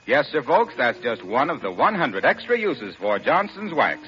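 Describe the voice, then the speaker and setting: An American man working as a 1940s radio announcer, delivering folksy, polished sponsor pitches and introductions. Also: very animated, normal speed. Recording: dull, lo-fi 1940s radio recording.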